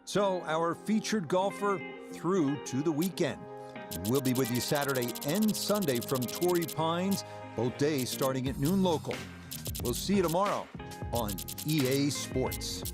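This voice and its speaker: A man playing a polished TV golf play-by-play announcer, giving measured, descriptive sports commentary in a video game. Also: fairly high pitch, lively intonation. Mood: upbeat. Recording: background music under the voice.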